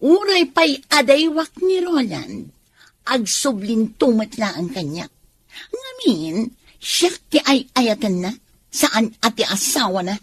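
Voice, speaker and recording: A woman playing a defiant, selfish mistress in a radio drama, with a husky voice and haughty, drawn-out dramatic delivery. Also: fairly high pitch, very animated, full character voice. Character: defiant, selfish mistress clinging to a married man.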